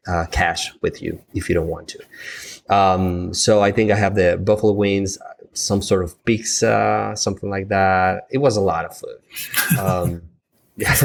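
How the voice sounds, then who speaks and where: Colombian man, native Spanish, deep voice, halting casual chatter. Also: vocal fry. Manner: halting, many fillers and restarts. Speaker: travel agent, guest. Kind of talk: casual podcast chat.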